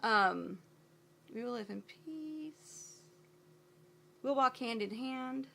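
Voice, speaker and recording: A woman singing with a slightly hoarse voice, sometimes talking between verses with self-deprecating, laughing asides. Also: a little high, expressive, drawn-out words. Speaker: amateur ukulele player singing for a friend. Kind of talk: home recording of singing with ukulele and asides. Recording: loud ukulele playing under the voice.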